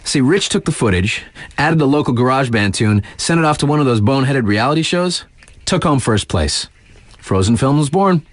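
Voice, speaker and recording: An actor playing a laid-back, wisecracking mountain-town radio DJ in a snowboarding game, with casual patter and wry, deadpan asides. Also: male voice, mid-range voice, moderate pace, dry recording, studio quality, lightly acted. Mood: wry, nostalgic.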